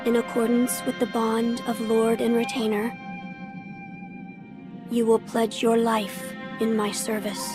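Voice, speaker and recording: A calm young noble boy voiced by a woman in a game speaks in a high, level voice. He is formal, measured and regal, with music behind him. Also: lightly acted. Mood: solemn.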